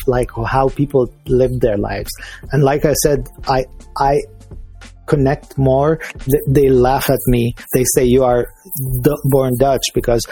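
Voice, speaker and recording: Syrian man, dark husky voice. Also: mid-range voice, poor audio. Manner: halting, many restarts and fillers. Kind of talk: casual conversation.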